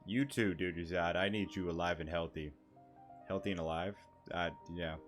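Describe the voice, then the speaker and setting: A man's voice: low voice, fairly even intonation, normal speed. A man doing casual, slangy, rambling commentary over a video game and reading dialogue options aloud, game music behind. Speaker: gaming streamer.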